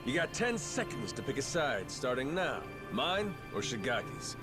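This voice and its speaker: An actor playing a sly, commanding yakuza boss turned pirate captain in a video game, blunt and sardonic. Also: male voice, medium pitch, big pitch swings, normal speed, music in the background, close to an everyday voice. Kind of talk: dramatic video game voice acting.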